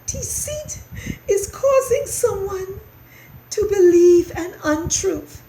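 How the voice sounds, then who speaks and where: A woman voicing a guilty, tearful young boy mouse in a storybook reading, with a squeaky, earnest delivery. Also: expressive, slow pace, mellow, exaggerated voice. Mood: tearful, confessing. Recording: roomy echo, faint background noise.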